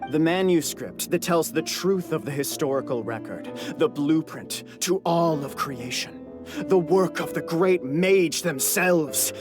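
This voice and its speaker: Guy acting as pompous wordy game scholar, proud of his clan, mid pitch, music under it. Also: natural voice. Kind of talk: theatrical video game character acting. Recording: music behind the voice.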